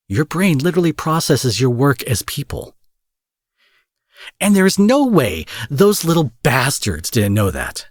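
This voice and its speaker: A rough-edged, husky male supervillain, acted by a man for an intimate audio roleplay, recorded close and dry. He is menacing yet fiercely protective, emphatic and sweary, with a coaxing, tender streak. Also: mid-range voice, dry recording.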